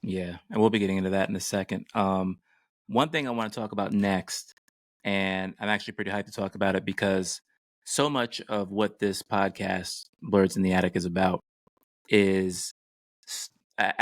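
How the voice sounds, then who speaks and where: A man's voice: low-pitched, flat delivery, moderate pace, a bit bright, no echo. A man hosting a podcast, chatting casually and introducing topics. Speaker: podcast host.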